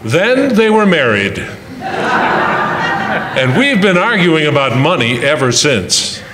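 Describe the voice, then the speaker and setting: A man's voice: fairly high pitch, sing-song, slow, heavy background noise. A man playing a long-suffering, wry husband in old-time radio comedy, narrating his married life with dry, sardonic asides. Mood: wry storytelling. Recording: roomy, echoing hall, faint music.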